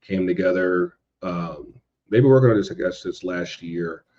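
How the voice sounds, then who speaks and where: A man chatting casually as a podcast guest, halting and circling back over his phrases. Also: low-pitched, darkish tone, highs cut off. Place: indoors at home in a city.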